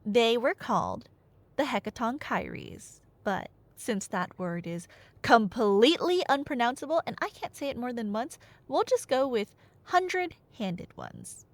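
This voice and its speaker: Nerdy gf type woman rambling a story, kinda high voice, super up and down, slow paced, playful bickering asides, dry sound. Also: no room sound. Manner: animated, nerdy, playful asides and mock-indignant bickering with an unheard listener. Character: chatty nerdy girlfriend excitedly retelling Greek myths. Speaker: audio roleplay performer playing a girlfriend retelling a myth. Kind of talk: casual rambling storytelling in a one-sided audio roleplay.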